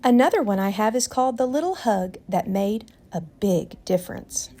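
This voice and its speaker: A woman hosting a storytime, reading aloud to children in a deliberate, expressive way. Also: mid-range voice. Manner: deliberate, animated.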